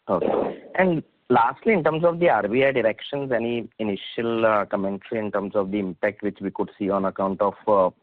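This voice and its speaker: A man with an Indian accent asking questions as an analyst on an earnings call, with halting, tentative phrasing, over a phone line. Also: on the low side, dry recording. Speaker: analyst caller. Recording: dry, narrow tinny phone line.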